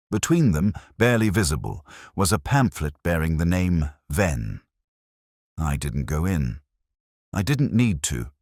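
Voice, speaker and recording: Brooding detective narrator male actor for audio mystery: bottomless bass, solemn and measured, expressive melody, dry studio sound. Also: dry recording, heavily acted. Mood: weary, wry. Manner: solemn, reflective, measured short phrases. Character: melancholy, brooding male English detective inspector narrating his case. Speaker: first-person narrator.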